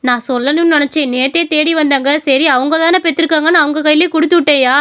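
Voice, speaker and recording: A woman's voice: high-pitched, fairly even intonation, quick pace, fairly bright tone. A woman playing a selfish, quarrelsome rich young woman in a cartoon story, brusque and assertive. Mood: irritated, evasive.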